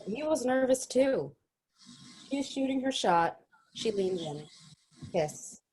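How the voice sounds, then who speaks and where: A woman's voice: on the high side, animated, slow pace, a little mellow, slightly rough, full character voice, rough recording. A woman reading stage directions as narrator in a virtual reading of a play. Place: remote virtual reading over video call.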